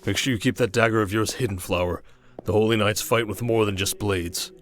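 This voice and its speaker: A man playing a courteous, protective young king and tender husband in an ASMR fantasy roleplay, polite and measured. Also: fairly low pitch, fairly even intonation, background noise, lightly acted. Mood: quiet, protective.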